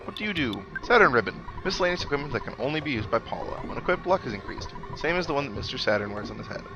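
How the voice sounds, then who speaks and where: Man, casual let's play host, wry asides, musing delivery, mid-pitched voice, game music behind. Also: big pitch swings, very fast, muffled recording. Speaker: host of a game playthrough series. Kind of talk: casual let's play commentary, reading game dialogue aloud. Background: video game music.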